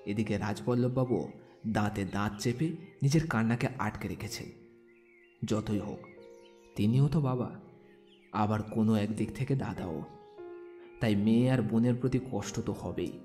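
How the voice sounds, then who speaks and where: A man's voice: lowish voice, moderate pace, long pauses, dark tone, soft background music. A man narrating a scripted romantic story as a storyteller.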